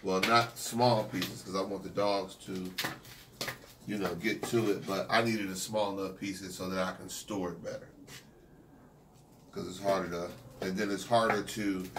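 A man's voice: low voice, a little slow. A man in his forties talking casually while cutting meat for his kennel dogs in his backyard. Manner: relaxed, rambling, with fillers and chuckles. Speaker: dog kennel owner. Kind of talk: casual how-to vlog. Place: outdoor backyard patio. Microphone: phone camera from a few feet away. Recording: distant phone mic, noisy outdoors.

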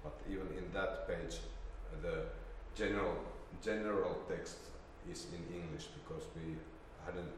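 A middle-aged man presents informally at a seminar, hesitant and halting. His pace is slow, and he is picked up by a distant microphone with long reverb around his voice. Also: low-pitched, steady tone. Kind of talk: informal presentation with slide demonstration. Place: indoor seminar room with projector screen. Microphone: distant camera microphone. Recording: roomy, echoey, distant mic, faint noise.

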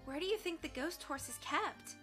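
A woman voicing an eager, excitable young woman who asks things anxiously. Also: sits high, moderate pace, soft background music, voice pushed up. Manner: eager, worried.

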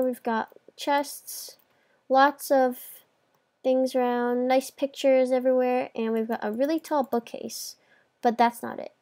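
A woman's voice: high-pitched, darkish tone. A woman hosting a video game tour, chatting informally as she gives casual commentary on what she is showing.

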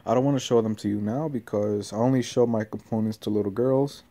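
A man's voice: lowish voice, steady tone, a little fast, dark tone. A young man talking casually in a meandering, hesitant, offhand way, like an amateur vlogger on a handheld phone in a small bedroom. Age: young adult. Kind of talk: casual rambling vlog talk. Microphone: handheld phone at arm's length. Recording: noisy phone recording with clear hiss.

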